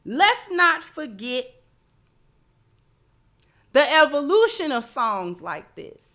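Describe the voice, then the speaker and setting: A woman's voice: high-pitched, big pitch swings, slow pace, sharp tone. A woman hosting livestream social commentary, speaking emphatically and often repeating phrases to drive a point home.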